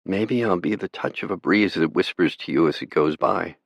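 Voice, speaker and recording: A man's voice: sits low, moderate pace, no room sound, muffled recording. A man voicing a frightened, brave teenage girl in an audiobook, pleading and tender. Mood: tender farewell. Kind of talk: audiobook character voice.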